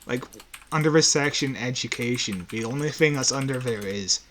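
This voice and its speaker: A man's voice: mid-range voice, moderate pace, a bit bright, a little hiss or hum. Enthusiastic fan, male podcast co-host. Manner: keen fan explaining trivia. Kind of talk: casual chat.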